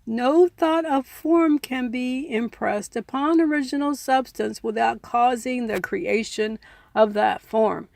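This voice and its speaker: A woman reading and explaining a self-help book in measured, deliberate phrases, in the style of an informal audiobook. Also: a little high, creaky, darkish tone, dry recording. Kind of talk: audiobook-style reading with casual personal asides.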